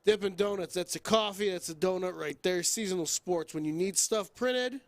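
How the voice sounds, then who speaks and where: Man, high voice, casual teasing host, dry close-up sound. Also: moderate pace, no echo. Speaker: podcast host. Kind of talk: casual podcast banter.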